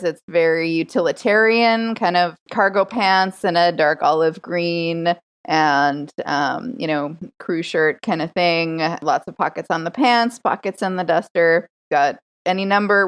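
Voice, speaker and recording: A woman playing a tabletop game on a podcast, casually describing her character in a halting, thinking-aloud way. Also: fairly low pitch, clean tone.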